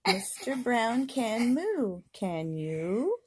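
A woman's voice: on the high side, sing-song, extremely slow. An older woman reading a picture book aloud as a grandmother to a young child, playfully drawing out sound words and coaxing the child to repeat. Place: home indoors, beside a patchwork quilt. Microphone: handheld phone or camera close by.

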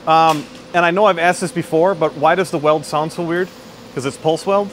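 A man's voice: a little high, fairly even intonation, slight background noise. A man in his thirties hosting a casual, upbeat walkthrough of a metal fabrication shop, filmed on a phone camera. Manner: chatty, quick and upbeat. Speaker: company video host. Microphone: phone camera, selfie and standing shots.

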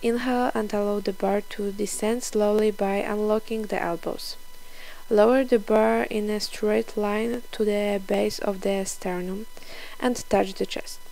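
Young woman w doing a fitness voiceover, kinda slow n careful, bit of vocal fry, sounds scripted. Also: medium pitch, fairly even intonation, mellow, rough recording. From Poland. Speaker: fitness vlogger. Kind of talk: scripted instructional voice-over.

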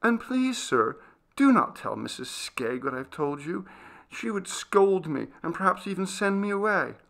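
A man's voice: high-pitched, big pitch swings, unhurried, creaky, a bit bright, voice pushed up, heavily acted. A man voicing a frightened, earnest young servant girl in a storybook, faltering, meek and deferential. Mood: anxious, confiding.

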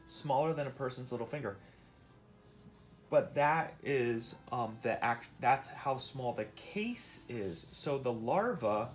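A middle-aged man, a father patiently reading a nonfiction picture book aloud and explaining it as he goes. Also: medium pitch. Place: indoors on a couch at home.

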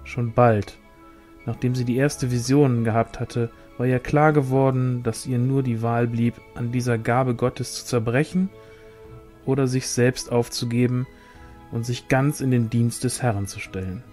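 A man reading a fantasy novel aloud as scripted narration, in a measured storytelling manner. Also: mid-range voice, steady tone, a little mellow, faint music behind. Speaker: audiobook reader.

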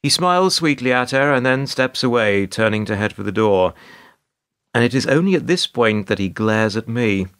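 A man narrating a radio play as a wry, put-upon storyteller, measured and sardonic. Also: mid-range voice, expressive, fairly bright tone, natural voice. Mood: wry, dry. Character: wry, put-upon male narrator recalling awkward encounters.

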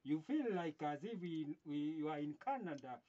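A man's voice: a little high, lively intonation, moderate pace, warm tone, dull audio. A middle-aged man playing a contractor boss in an improvised comic chat, questioning and teasing, recorded outdoors in a construction yard. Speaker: contractor boss in a comedy sketch.

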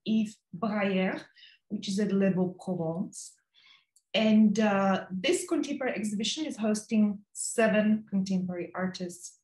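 Gracious woman host in a roomy room. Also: mid-range voice. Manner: warm, gracious, gives short affirmations. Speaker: interviewer host. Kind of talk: video call interview. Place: home room with bookshelves. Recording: roomy video call audio.

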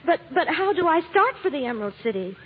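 A woman's voice: high-pitched, very animated, fast talker, phone-quality sound, a little hiss or hum. An actress playing an earnest, anxious young farm girl in a vintage radio drama. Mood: anxious, wondering. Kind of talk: 1930s-50s radio acting. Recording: thin vintage radio broadcast with music.

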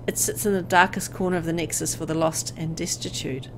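A woman reading a visual novel aloud as dramatic scripted narration, with an expressive storytelling delivery. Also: deep voice, a little fast, background noise.

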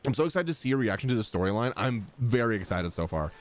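A man's voice: mid-range voice, fast. A young man giving casual, slangy live reactions to a game as a streamer, close on a desk mic. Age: young adult. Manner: expressive, slangy. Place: indoor gaming room. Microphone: close desk microphone. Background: game music.

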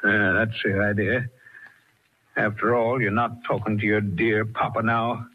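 An actor playing a suave, sardonic older kidnapper in an old radio drama, with a husky voice. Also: male voice, fairly low pitch, flat delivery, measured pace. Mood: menacing, gloating. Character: suave, scheming older male swindler-turned-kidnapper. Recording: muffled old radio recording.

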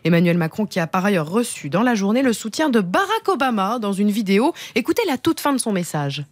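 A woman's voice: medium pitch, very animated, brisk. A woman in her thirties reading a scripted radio news bulletin. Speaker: radio newsreader. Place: small radio studio. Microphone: close studio microphone with headset monitoring.

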